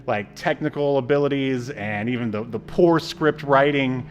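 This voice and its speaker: A man's voice: medium pitch, moderate pace, muffled recording, a crowd in the background. A man co-hosting a podcast, chatting casually in an unscripted way. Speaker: podcast co-host. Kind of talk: casual chat about a film.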